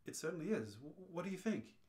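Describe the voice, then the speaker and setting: A man voicing a brisk, unfazed alien hitchhiker who guides a confused friend, in a radio play read-through. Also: on the high side, big pitch swings, a little mellow. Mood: calm, explanatory. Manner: matter-of-fact, unfazed.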